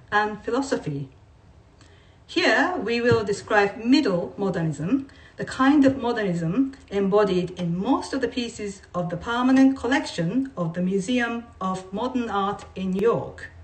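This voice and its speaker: A woman reading non-fiction aloud in a measured, deliberate way, like a writer presenting a text. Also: medium pitch. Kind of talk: read-aloud of non-fiction with brief informal asides. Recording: voice distant from the mic.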